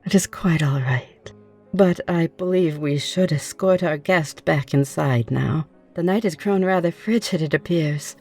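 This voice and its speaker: A woman's voice: sits low, unhurried, darkish tone. A woman playing a curious, optimistic newly turned vampire, speaking in formal, genteel and reassuring phrases for an ASMR roleplay. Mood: concerned, gentle. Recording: music behind the voice. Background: music.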